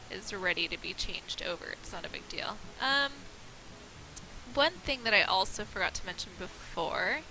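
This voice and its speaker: A woman's voice: mid-range voice, vocal fry, sharp tone, slight background noise, low-quality recording. A woman with a nasal voice and a slight cold, rambling through casual, self-deprecating gameplay commentary. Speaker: let's play host.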